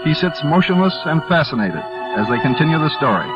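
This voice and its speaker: A man's voice: on the high side, sing-song, a little fast. A man introducing and narrating a 1940s radio play in scripted style. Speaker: host and narrator. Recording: dull vintage radio, loud music behind.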